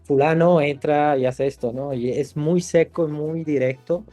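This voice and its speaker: Thoughtful Italian-born man with a mellow voice. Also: on the high side, steady tone, normal speed, no room sound, soft background music. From Italy. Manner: thoughtful, many restarts and fillers. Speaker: screenwriter guest. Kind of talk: podcast interview.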